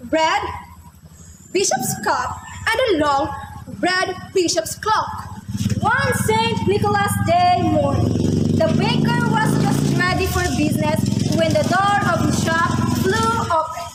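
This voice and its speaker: A woman's voice: extremely high pitch, animated, takes it slowly, very noisy. A woman reading a scripted story aloud as a readers theater narrator. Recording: echoey room, loud music behind.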